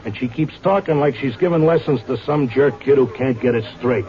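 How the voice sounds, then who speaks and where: A man's voice: mid-range voice, fairly quick, close to an everyday voice. An actor playing a stubborn, devoted horse-race handicapper in a vintage radio drama, talking in slangy wise-guy style. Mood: insistent. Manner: slangy, streetwise Broadway wise-guy talk. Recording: dull old radio recording with music.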